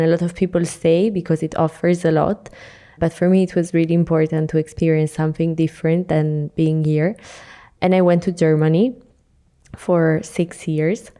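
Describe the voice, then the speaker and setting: A woman's voice: fairly low pitch, warm tone, clear, resonant tone. A young woman from Milan, an Italian native speaker, chatting as a podcast guest and laughing a lot. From Milan, Italy. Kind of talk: casual interview chat.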